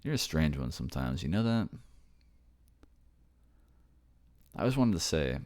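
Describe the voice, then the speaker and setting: A man performs an affectionate boyfriend in an ASMR roleplay. His voice sits low, with a gentle, casual delivery. He reassures and explains like someone talking to a partner he loves. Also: very animated, fast talker. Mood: relieved, teasing. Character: affectionate young boyfriend raised by a ghost family.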